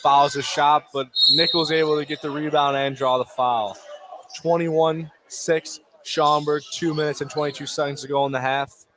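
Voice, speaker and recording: A man doing live play-by-play radio commentary of a high school basketball game in short, clipped phrases. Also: flat delivery, moderate pace, a loud crowd. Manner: clipped phrases calling action as it unfolds. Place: indoor basketball gym, courtside.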